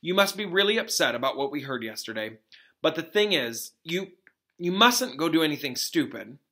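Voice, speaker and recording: A man reading a storybook aloud as an anxious, earnest schoolgirl cautioning her friend, pleading gently. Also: fairly high pitch, animated, normal speed, voice pushed up.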